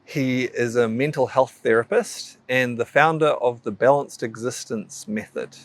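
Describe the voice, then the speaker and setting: Relaxed male podcast host. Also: mid-range voice, unhurried, dry recording. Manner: relaxed, friendly, repeats words. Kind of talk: interview hosting.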